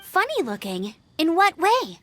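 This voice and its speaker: Perky, very high-voiced young scout. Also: female voice, measured pace, slight background noise, full character voice. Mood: curious. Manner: energetic, peppy, confident. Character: perky, determined young female knight scout. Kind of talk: animated game voice acting.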